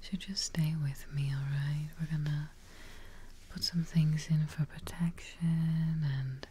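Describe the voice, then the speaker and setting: A young woman whispers very slowly in a soft, breathy ASMR roleplay, soothing the listener like a caretaking witch. Her tone is deeply mellow and reassuring, recorded up close with faint noise behind it. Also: fairly even intonation. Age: young adult. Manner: gentle, soothing, reassuring, unhurried. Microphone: close microphone.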